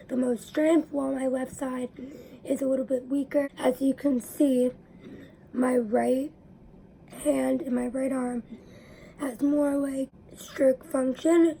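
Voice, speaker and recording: A young woman speaks to her viewers in a raspy, high voice with a very dark, mellow tone. She explains things earnestly, and now and then a word fades into a whisper. A faint hiss of room noise sits underneath. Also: poor audio. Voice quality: raspy from allergies. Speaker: vlogger. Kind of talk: personal talk to camera.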